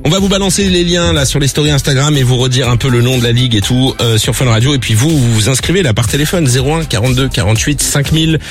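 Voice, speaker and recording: A man's voice: medium pitch, fast talker, hardly any pauses, soft background music. A man hosting morning radio, chatting casually and hyping up his listeners. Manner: upbeat, chatty, hypes listeners. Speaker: radio host. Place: radio studio.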